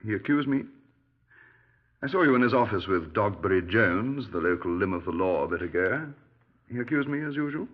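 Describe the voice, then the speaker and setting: An actor playing a genial, breezy middle-aged British retired major in a 1950s radio drama, clipped and dismissive. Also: male voice, fairly low pitch, lively intonation, fairly quick, breathy edge. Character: genial, dapper retired army major, breezy small-town murder suspect. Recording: muffled 1950s radio recording.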